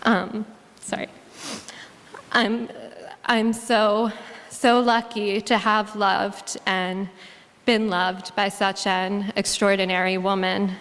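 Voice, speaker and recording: Slow, halting woman in a reverberant hall. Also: medium pitch, little pitch movement, a bit bright. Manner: halting, with frequent ums and pauses. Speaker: niece giving a eulogy. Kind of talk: memorial eulogy and poem reading. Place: church sanctuary at a memorial service. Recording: long reverb of a large hall.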